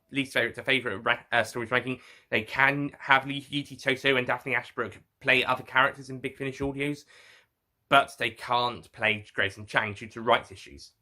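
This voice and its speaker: Bright-voiced man giving a halting informal review. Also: mid-range voice. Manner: halting, rambling, often self-correcting. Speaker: reviewer and host. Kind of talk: informal spoken review to viewers.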